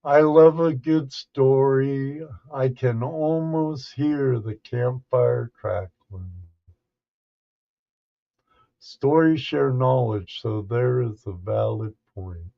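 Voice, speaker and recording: A man hosting a casual livestream, chatting and telling stories in a halting, unhurried, folksy way. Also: medium pitch, gravelly, mellow, muffled recording. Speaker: livestream host and storyteller. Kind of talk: casual livestream chat and storytelling.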